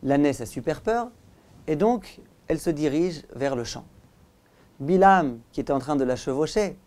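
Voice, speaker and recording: A male rabbi giving a religious lesson as a story, with emphatic, deliberate phrasing. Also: a little high, expressive, a little mellow. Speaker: rabbi, teacher.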